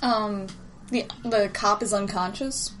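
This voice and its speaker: A woman playing in a tabletop roleplaying game who often chimes in with quiet agreement. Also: medium pitch, fairly even intonation, slight background noise. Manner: frequent quiet backchannel, slow. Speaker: player.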